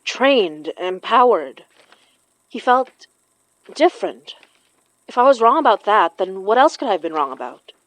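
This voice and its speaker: A woman's voice: mid-range voice, very animated, measured pace, long pauses, dry recording, low-quality recording. A woman acting in an audio horror drama as an earnest, knowing spirit medium who blames herself. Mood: bitter, self-doubting. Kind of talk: audio fiction podcast acting.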